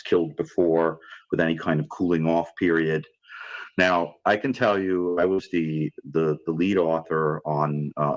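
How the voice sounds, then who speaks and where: Man, very deep voice, psychologist, measured, academic, dull lo-fi clip. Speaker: forensic psychologist. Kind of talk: recorded expert commentary.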